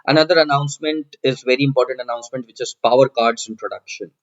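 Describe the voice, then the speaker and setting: A man presents a screen-share tutorial in a middle-pitched voice. He explains each step in turn and tends to repeat his phrases. The sound is a little dull and lo-fi. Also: fast talker. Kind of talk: screen-share software walkthrough narration.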